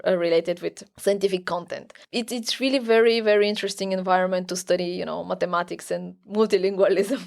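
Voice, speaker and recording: A woman's voice: fairly low pitch, steady tone, a little fast, vocal fry, a little mellow. Woman explaining research. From Bulgaria. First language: Bulgarian. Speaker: academic researcher, podcast guest. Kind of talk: conversational expert explanation.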